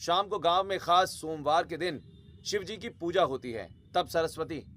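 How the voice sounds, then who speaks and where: A man's voice: fairly high pitch, normal speed, a bit bright, slight background noise. A man narrating a scripted dramatic family story in a storytelling style.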